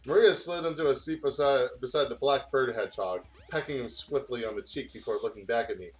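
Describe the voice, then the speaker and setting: A man's voice: medium pitch, fairly quick. A man reads fan fiction aloud in a casual way, stumbling over words and breaking off for joking, sweary banter. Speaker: guest reader on a gaming video.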